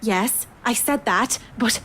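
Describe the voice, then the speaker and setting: A woman's voice: a little high, takes it slowly, fairly bright tone, a little hiss or hum. A woman voicing an anxious, stubborn young interior designer who pleads and protests indignantly. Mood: pleading.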